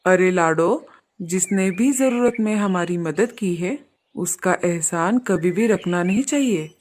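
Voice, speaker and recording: A woman's voice: fairly low pitch, expressive, measured pace, a little mellow, voice pushed down. A woman voicing a polite, grateful male crow innkeeper in a children's cartoon story, courteous and earnest.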